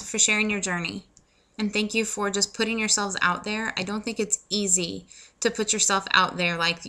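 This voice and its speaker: Bright-voiced chatty woman in her thirties. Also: on the low side. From United States. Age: 30s. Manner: chatty, self-deprecating humor, many ums. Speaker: vlogger. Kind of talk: casual personal vlog.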